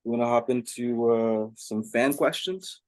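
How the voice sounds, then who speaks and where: A man's voice: mid-range voice, measured pace, no echo. A man interviewing someone casually and reading out fan questions.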